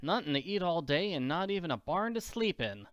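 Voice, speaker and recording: A man's voice: on the high side, sing-song, a little fast, fairly bright tone, no room sound, muffled recording, pitched above the performer's own voice. A man voicing a plucky, hungry orphan girl from an old comic strip who exclaims stubbornly. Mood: weary.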